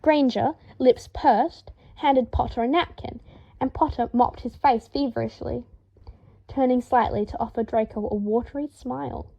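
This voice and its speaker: Woman audiobook reader, expressive, highish voice, bit of a dull lo-fi sound. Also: moderate pace, creaky. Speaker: fan fiction audiobook reader. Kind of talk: expressive scripted narration. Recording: dull lo-fi home recording.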